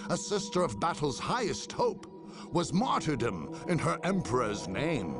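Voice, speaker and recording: An actor playing a solemn, zealous chronicler of a grim war, giving dramatic game narration in a formal, portentous manner with deliberate emphasis. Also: male voice, fairly high pitch, sing-song. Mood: grave, portentous. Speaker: narrator of game campaign endings. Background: music.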